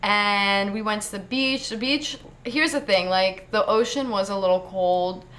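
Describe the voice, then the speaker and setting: A young American woman telling a travel story to camera in a chatty, casual way, full of fillers and quick laughs. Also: mid-range voice, a bit bright. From United States. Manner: chatty, fast, lots of "like", laughs often. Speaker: travel vlogger.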